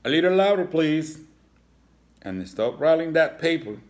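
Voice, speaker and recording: A man voicing a stern, pompous horned animal school principal in a children's storybook, brisk and commanding. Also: on the high side, sing-song, pitched above the performer's own voice. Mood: sharp, commanding. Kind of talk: children's storybook read-aloud.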